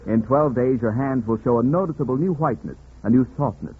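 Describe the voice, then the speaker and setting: A man's voice: medium pitch, brisk, a little hiss or hum. A man announcing on 1940s radio, reading commercials with a polished, emphatic sales delivery. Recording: muffled, phone-like 1940s radio recording.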